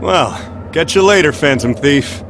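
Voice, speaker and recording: A laid-back young game hero, talks in an offhand, informal way with lively pitch. Engine-like ambient noise runs clearly behind. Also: male voice, unhurried, echoey room, big-room sound. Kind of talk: English video game cutscene acting.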